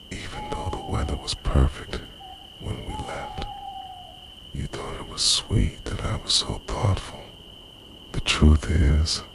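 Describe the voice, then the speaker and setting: Very deep, creaky, hushed devoted boyfriend, acted by a man. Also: noisy background, heavily acted. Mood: tender confessing. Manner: hushed, slow, intimate, often slipping into a whisper. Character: obsessive, devoted boyfriend who secretly time-travels, confessing to lover. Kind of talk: ASMR roleplay.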